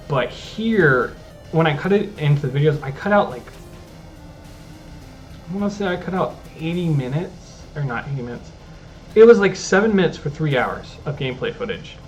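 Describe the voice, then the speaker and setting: An easygoing man chats his way through a gameplay recording, circling back and restarting his thoughts as he goes. His voice sits at an ordinary middle pitch, and soft game music plays faintly underneath him. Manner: rambling, self-interrupting, easygoing. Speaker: gaming channel host. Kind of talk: casual gameplay commentary.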